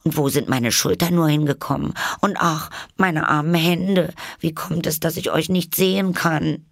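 A bewildered little fairy-tale girl, played by a man, with the pitch lifted well up. The child sounds earnest and plaintive, quick to protest. The recording is dry. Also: steady tone, vocal fry, dry recording. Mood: anxious wonder. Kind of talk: storybook character voice.

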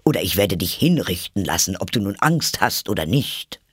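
A man playing an irritable, bossy storybook king who threatens in a gruff, commanding manner. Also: lowish voice, big pitch swings, unhurried, a bit bright, dry recording, studio quality, heavily acted. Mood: angry.

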